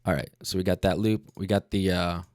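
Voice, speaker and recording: A man's voice: low-pitched, level tone, fairly quick, no room sound. A man hosting a casual livestream tutorial as a music producer, talking in a laid-back, slangy way into a studio microphone.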